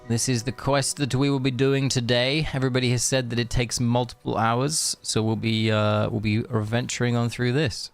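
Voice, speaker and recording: A man's voice: mid-range voice, little pitch movement, normal speed, vocal fry, hardly any pauses, faint music behind. A man chatting casually on a game live stream, rambling and answering viewers as he plays. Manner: rambling, chatty, reads chat aloud.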